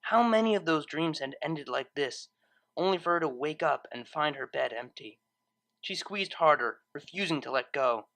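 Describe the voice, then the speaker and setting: A woman reading a story aloud as narrator, in expressive scripted narration. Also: low-pitched, normal speed.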